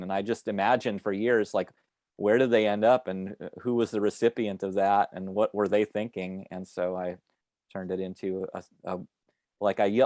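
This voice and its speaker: A man, an author, reading his own short story aloud over a video call with a wry, deadpan delivery, then chatting casually. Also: lowish voice, dry recording, studio quality. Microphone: home computer mic on a video call.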